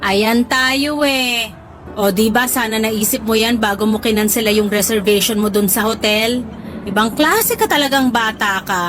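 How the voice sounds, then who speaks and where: A woman acting a doting middle-aged mother in a radio drama, teasing and gently scolding her grown son. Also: fairly high pitch, moderate pace, soft background music, close to an everyday voice. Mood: fond exasperation.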